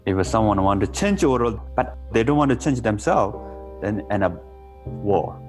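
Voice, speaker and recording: A man's voice: lowish voice, animated, normal speed, a little mellow, faint music behind. A man from Nepal with a Nepali accent, telling stories about meditation in a playful interview, in simple, broken English. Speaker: meditation teacher. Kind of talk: relaxed interview conversation.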